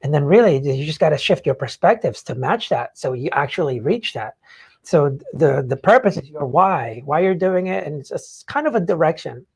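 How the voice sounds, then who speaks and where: A man's voice: a little high, animated, moderate pace, vocal fry, darkish tone, slightly rough. A man hosting a podcast in casual motivational talk, explaining things in an informal, rambling way. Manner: informal, rambling explanations peppered with "like" and "you know". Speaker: podcast host.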